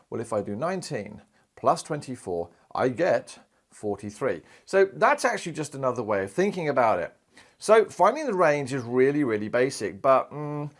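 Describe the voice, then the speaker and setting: A man in his thirties, from Australia, teaching a recorded maths lesson in a chatty, animated way. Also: medium pitch. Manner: lively, chatty, acts out quoted voices. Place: indoor studio in front of a screen.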